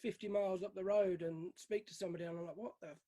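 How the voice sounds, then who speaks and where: Male British interviewer, high-pitched voice, casual conversational delivery. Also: fairly even intonation, fast talker, dark tone. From United Kingdom. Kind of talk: casual chat.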